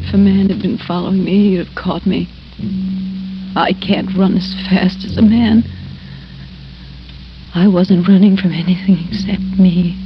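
Frightened woman alone at night, very slow halting self-talk, theatrical 1950s radio horror, music behind, muffled old recording. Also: medium pitch. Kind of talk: 1950s radio drama acting.

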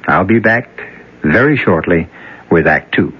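A man's voice: deep voice, expressive, measured pace, heavy background noise. A man hosting a radio mystery show, narrating between acts in an ominous, theatrical way. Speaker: host narrator. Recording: lo-fi vintage radio broadcast with music.

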